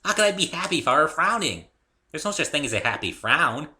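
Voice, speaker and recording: Man putting on a high, perky girl cartoon pony. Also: sing-song, fast, sharp tone, exaggerated voice. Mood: giggly, playful. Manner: bubbly, exclaiming, playfully teasing. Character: perky, silly, bubbly female cartoon pink pony. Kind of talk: dramatic story reading.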